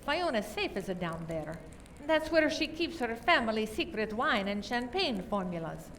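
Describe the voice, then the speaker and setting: A woman's voice: fairly high pitch, very animated, moderate pace, fairly bright tone, far from the mic, a little hiss or hum. A woman playing an indignant, defensive murder suspect in an amateur radio play, emphatic and protesting. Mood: accusing.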